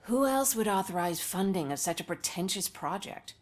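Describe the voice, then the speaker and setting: A woman's voice: medium pitch, animated, normal speed, natural voice. A wry commercial actress, voiced by a woman chats in a dry, sardonic way. She is candid and casual, a character in a video game.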